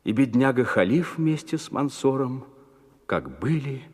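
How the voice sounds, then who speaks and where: A man's voice: mid-range voice, big pitch swings, measured pace, a little mellow. Male fairy-tale narrator in reverberant space. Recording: long hall reverb.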